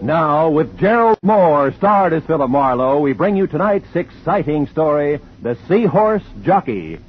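A man's voice: a little high, sing-song, unhurried. A male announcer introducing a 1950 radio drama in a formal, declaiming manner. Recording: dull old radio recording with music.

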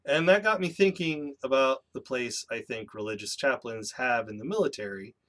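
A man in his thirties talks straight to his webcam from a small room at home, sounding a little stuffy and nasal as he gets over a cold. He speaks at a medium pitch, in a candid, measured way, with an occasional dry joke at his own expense. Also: a bit bright. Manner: candid and measured, with dry self-deprecating asides. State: congested from a cold, slightly nasal. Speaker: YouTube channel host. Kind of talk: personal vlog monologue. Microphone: webcam in front of a seated speaker.

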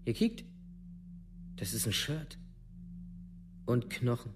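An actor playing a rough, shaken teenage village boy in a documentary radio play, speaking bluntly and haltingly in colloquial language. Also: mid-range voice, very animated. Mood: tense recollection.

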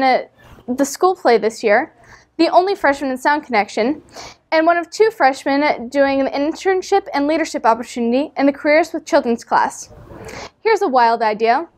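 A high-pitched young voice, that of an American girl in her teens. She gives a prepared speech for a student election. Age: teenager, about 14. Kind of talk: prepared campaign speech to camera. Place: indoor studio, seated.

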